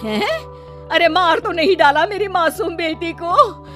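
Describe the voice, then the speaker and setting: A woman's voice: very high voice, measured pace. A woman playing a greedy, scheming middle-aged mother in a cartoon story, with a dramatic, scolding and wheedling manner. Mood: indignant. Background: background music.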